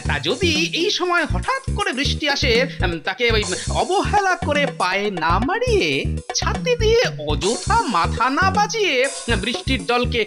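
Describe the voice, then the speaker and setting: A high, very lively cartoon jester speaks over loud music. The figure is clever and sly, explaining things coaxingly, with teasing hesitations. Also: a little slow, full character voice. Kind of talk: animated cartoon dialogue.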